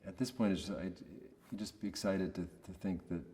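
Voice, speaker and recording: A man giving a casual, reflective interview in a monotonous voice, hesitant and understated as he searches for words. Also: low-pitched, normal speed, in a large hall, a little hiss or hum. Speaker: voice actor being interviewed.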